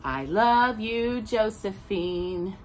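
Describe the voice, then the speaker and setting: A woman's voice: medium pitch, lively intonation, very slow, a little hiss or hum. A woman voicing an affectionate, doting father in a children's storybook, in a tender manner.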